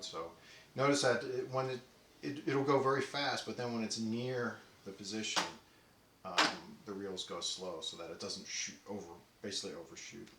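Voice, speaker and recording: A man casually demonstrating a tape deck, talking in a relaxed way with many ums and restarts. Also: on the low side, very noisy. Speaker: audio equipment reviewer. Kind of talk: casual product demo. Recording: roomy echo with faint music.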